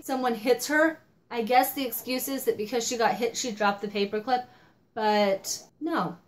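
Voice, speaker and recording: A young woman with a fairly high voice talks through a book review at her home desk, animated and a little exasperated. She's coming down with a cold, so her voice sounds slightly hoarse and squeaky. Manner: animated, exasperated, gestures a lot. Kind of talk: casual vlog book review. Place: home office with desk and monitors.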